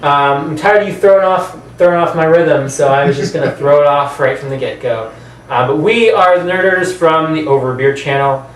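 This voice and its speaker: A man's voice: on the high side, fairly quick, hardly any pauses. A man co-hosting a casual movie chat, speaking informally with some mild swearing. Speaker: co-host. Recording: roomy, distant mic, faint background noise.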